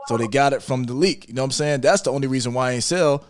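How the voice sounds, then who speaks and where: A man's voice: medium pitch, rapid-fire. A man hosting a podcast, arguing about music casually in an insistent, slangy way and often repeating his points. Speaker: podcast host. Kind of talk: casual debate about hip hop.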